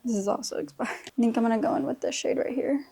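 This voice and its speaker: Creaky-voiced young woman, casual and chatty. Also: fairly high pitch, steady tone, fast talker, dark tone. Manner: informal, slangy speech with frequent "like". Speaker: beauty vlogger. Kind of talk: casual chatty makeup tutorial.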